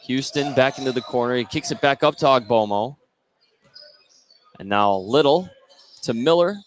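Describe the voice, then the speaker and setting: A man doing live play-by-play radio commentary of a high school basketball game. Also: moderate pace. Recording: noisy gym ambience behind the voice.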